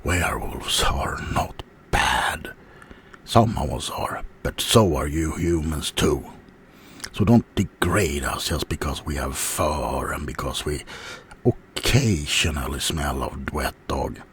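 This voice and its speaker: Man acting as a very deep, slow, offended werewolf. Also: fairly bright tone, slight background noise, full character voice. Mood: gloomy, wounded. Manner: halting, aggrieved, often dropping into whispers. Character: offended, sulky adult male werewolf being interviewed. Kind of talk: ASMR character roleplay monologue.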